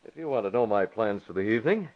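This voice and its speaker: An actor playing a suave, cool, teasing masked vigilante with a wry, unruffled manner in an old-time radio drama. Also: male voice, lowish voice, animated, fast, close to an everyday voice. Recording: muffled vintage radio recording.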